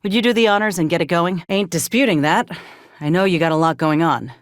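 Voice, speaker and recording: A woman's voice: fairly low pitch, no room sound, lightly acted. Woman acting as a folksy space frontier ex-soldier gal, earnest n steadfast, plain talker, game dialogue, super dry sound. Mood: earnest, hopeful. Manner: folksy, plainspoken, measured delivery. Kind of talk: video game character dialogue.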